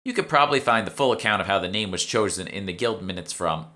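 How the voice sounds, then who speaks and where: A man's voice: lowish voice, animated, fast, bright, forceful tone. A man voicing a teenage salesgirl with a Boston accent, casual and explanatory, in an audiobook. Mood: chatty, informative. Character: teenage salesgirl, evasive then chatty, knowing alchemy shopkeeper. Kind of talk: audiobook character dialogue.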